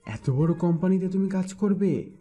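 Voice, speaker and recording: Man playing a dark-voiced, husky, gentle father. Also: sits high, moderate pace, faint music behind. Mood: fond, inquiring. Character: affectionate, calmly authoritative middle-aged father.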